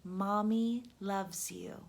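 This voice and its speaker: Woman as a tender, slow-spoken mother raccoon. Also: medium pitch, steady tone, gravelly. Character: loving, gentle mother raccoon, reassuring her child.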